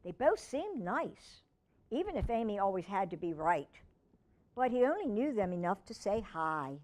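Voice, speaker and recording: A woman's voice: mid-range voice, sing-song, moderate pace, a little mellow. A woman reading a children's book aloud expressively, with chatty asides to young listeners and a pet. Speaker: children's storytime reader.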